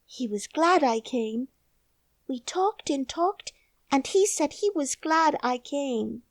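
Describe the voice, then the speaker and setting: A woman's voice: sits high, lively intonation, slow pace, no echo, clean studio sound, pitched above the performer's own voice, heavily acted. A woman voicing an earnest, outspoken young girl in a storybook reading.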